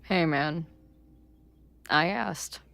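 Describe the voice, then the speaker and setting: A woman's voice: sits low, extremely slow, vocal fry, fairly bright tone, exaggerated voice. An actress playing a weary, wry young woman resigned to death, plain-spoken and sardonic, in naturalistic video game dialogue. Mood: weary, self-sacrificing.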